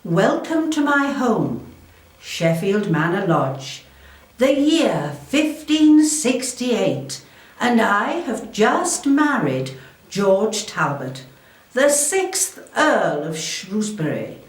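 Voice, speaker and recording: A woman playing a proud, shrewd, wealthy Elizabethan noblewoman, delivering a formal, theatrical first-person historical monologue in a deliberate way. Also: medium pitch, big pitch swings. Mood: proud, assured. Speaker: volunteer historical re-enactor. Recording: echoey room, distant microphone.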